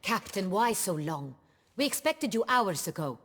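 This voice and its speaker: A woman's voice: mid-range voice, big pitch swings, unhurried, a bit bright. An actress playing an imperious, impatient noblewoman ruler in a video game, speaking in formal, commanding, archaic phrases. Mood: anxious, impatient. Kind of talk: dramatic video game acting.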